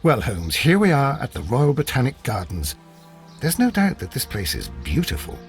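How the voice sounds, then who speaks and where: An actor playing a courteous, easily exasperated Victorian English doctor and sidekick in a video game, with a proper, gently sardonic manner. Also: male voice, medium pitch, wildly swooping pitch, moderate pace, soft background music. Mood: pleasant, curious.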